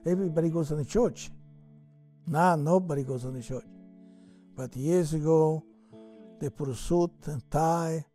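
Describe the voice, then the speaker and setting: An older Italian-accented man tells his story in halting, broken English, full of fillers and restarts. His voice is deep, dark and mellow, and the pitch sits a little high for a man. Soft music plays faintly beneath him. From Italy. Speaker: interviewee. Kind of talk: reminiscing in an interview.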